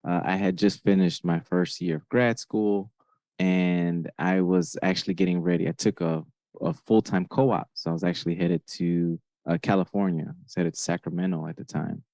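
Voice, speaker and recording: A man in his thirties from Honduras telling his story casually as a podcast guest, often pausing to search for words. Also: low voice, mellow, no echo.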